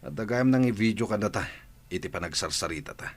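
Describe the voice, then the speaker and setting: A man's voice: lowish voice, expressive, fast. An actor playing a stern man who confronts a woman about her arrest in an Ilocano radio drama.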